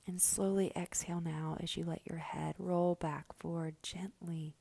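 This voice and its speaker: A woman leads a guided meditation very slowly and gently, leaving long pauses between instructions. Her voice is soft and mellow, often nearly whispered, with a noticeable fry at the edges. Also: fairly low pitch. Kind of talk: slow guided meditation narration.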